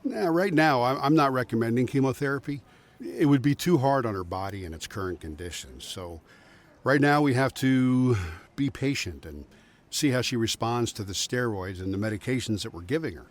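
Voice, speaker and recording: A man playing a frank, cautious doctor in an audio drama, conversational, measured and a little hesitant. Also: medium pitch, expressive, close to an everyday voice. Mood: grave, frank. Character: frank, cautious male doctor breaking bad news.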